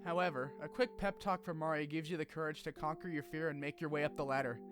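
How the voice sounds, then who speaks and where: A man with a slightly high voice hosts a gaming video essay. He narrates the story from a script and drops in informal, animated asides with the odd swear. Music plays under his voice. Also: fast. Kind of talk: scripted game story recap with casual asides.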